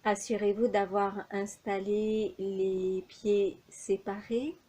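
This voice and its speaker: A woman leading a guided yoga nidra relaxation as an instructor, giving calm, soothing, measured instructions with long pauses. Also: medium pitch, poor audio.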